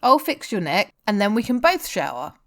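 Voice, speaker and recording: A blunt rock singer who teases and looks after his bandmate , played by a woman reading fiction aloud. The character dialogue is pitched somewhat above plain narration. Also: big pitch swings, normal speed, fairly bright tone. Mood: bossy, caring. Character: adult male rock singer, blunt, teasing, caring bandmate. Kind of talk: audiobook-style character dialogue.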